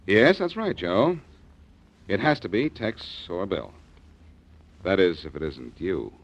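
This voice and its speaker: An actor playing a cool, wisecracking detective with a dry, calm manner, in 1940s radio acting style. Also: male voice, deep voice, takes it slowly, heavily acted. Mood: probing. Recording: dull lo-fi old radio recording.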